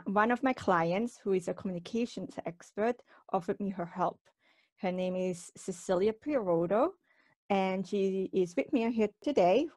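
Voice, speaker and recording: A woman's voice: mid-range voice, a little mellow, no room sound. A middle-aged woman from Switzerland hosting a podcast in a conversational style. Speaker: podcast host.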